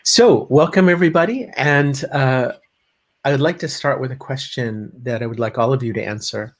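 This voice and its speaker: A man hosting a live-streamed panel discussion, speaking haltingly with many pauses. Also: medium pitch. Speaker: host, panel moderator.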